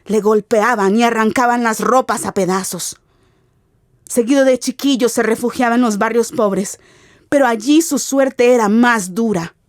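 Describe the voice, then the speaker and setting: A woman giving an expressive, theatrical narration of a literary radio drama as its narrator. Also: on the high side, normal speed. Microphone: close studio mic.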